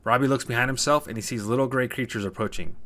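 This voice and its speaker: A man's voice: medium pitch, fast talker, fairly bright tone. A man narrating a scripted short story as a storyteller.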